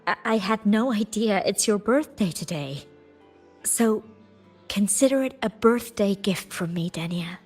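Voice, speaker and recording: An actress playing a kind young graduate student in a video game, gently teasing and earnest, with slight hesitation. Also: female voice, mid-range voice, lively intonation, unhurried, husky, faint music behind. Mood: gentle, warm. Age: young adult.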